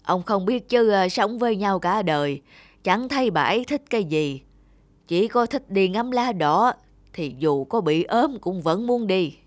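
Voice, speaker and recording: A man's voice: high voice, expressive, measured pace, no room sound. Wistful, frail old father. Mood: nostalgic. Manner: rambling, nostalgic. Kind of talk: cartoon voice acting.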